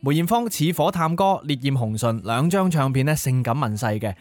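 A man's voice: medium pitch, lively intonation, a little fast, dry recording. A man co-hosting a music nostalgia programme in a scripted narration style. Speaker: co-host of a Cantopop nostalgia show.

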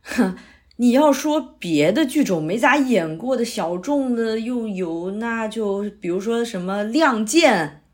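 A woman's voice: a little high, expressive, unhurried. A woman playing an earnest male playwright in an audio drama, talking persuasively and explaining his ideas at length. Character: earnest middle-aged male playwright, eager pitcher. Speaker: playwright character.

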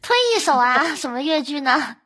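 A woman's voice: high-pitched, normal speed, pushed and bright. A woman hosting a podcast in a casual, conversational style. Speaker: podcast host.

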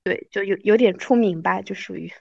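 A woman in her thirties hosting a podcast, chatting casually and reminiscing in an animated, self-deprecating way. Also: mid-range voice, brisk, vocal fry, no echo, highs cut off. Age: 30s. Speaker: podcast host.

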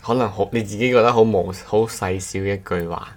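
A man speaks in a low-pitched voice as a podcast co-host. He chats casually, with a measured, explanatory way of talking. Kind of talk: casual chat.

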